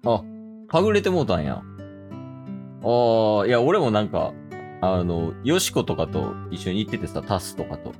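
Man playing an earnest, animated Kansai teenage boy. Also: lowish voice, moderate pace, over music. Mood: casual, awkward. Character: earnest, smitten teenage boy talking to unheard girl.

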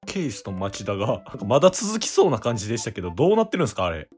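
A man's voice: medium pitch, wildly swooping pitch, racing, darkish tone, faint music behind. A man co-hosting a radio show in casual banter.

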